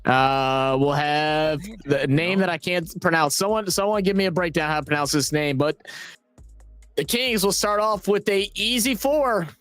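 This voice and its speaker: A man's voice: on the high side, moderate pace, fairly bright tone, clean tone, soft background music. A man in his late twenties co-hosting a sports watch party, rambling in a laid-back way and chuckling often. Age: 20s. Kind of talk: casual banter stream.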